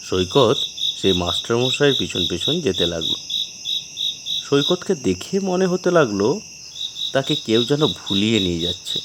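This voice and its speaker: A man's voice: medium pitch, big pitch swings, normal speed, pauses often, heavy background noise. A man narrating a scripted horror story in a dramatic storytelling style.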